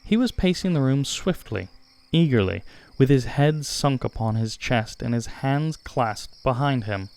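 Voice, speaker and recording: Male audiobook narrator for classic fiction: mid-range voice, very varied intonation, measured and dramatic phrasing. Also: mid-range voice, lively intonation, a little mellow. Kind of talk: scripted literary narration.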